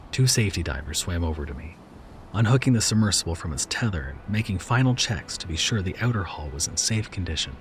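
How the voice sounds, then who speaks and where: A man reading a first-person horror story in a slow, hushed delivery that sometimes drops to a whisper. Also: deep voice.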